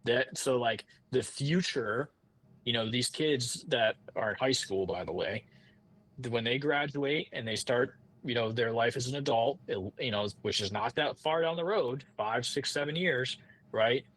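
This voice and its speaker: A man's voice: mid-range voice, measured pace, fairly bright tone, poor audio. Casually rambling man, podcast guest. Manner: informal, rambling, repeats and restarts phrases. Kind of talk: casual conversational interview talk.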